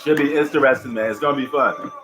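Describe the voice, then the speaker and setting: A man's voice: mid-range voice, fast, a bit bright, a little hiss or hum. Laid-back New man, slangy chat. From New York. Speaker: live stream host. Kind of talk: live stream reaction commentary. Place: at home.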